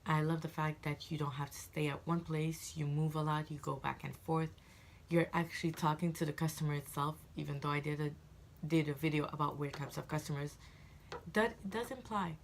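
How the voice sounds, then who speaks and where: A woman's voice: low-pitched, fairly even intonation, normal speed, creaky, slight background noise. A woman in her twenties from Egypt, chatting casually to camera as a vlogger, rambling with frequent fillers and restarts.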